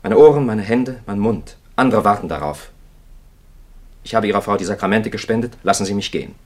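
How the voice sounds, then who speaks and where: Male actor as weary clergyman, lowish pitch, earnest, plain-spoken, impatient, dry delivery, vintage radio play. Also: fairly quick, darkish tone, lightly acted. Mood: weary, insistent. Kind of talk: 1950s German radio play acting.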